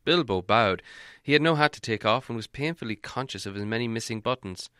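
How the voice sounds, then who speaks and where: A man's voice: medium pitch, animated, fairly quick, pushed and bright, smooth, no echo, clean studio sound. A man reading a fantasy storybook aloud as scripted audiobook narration. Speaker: audiobook reader.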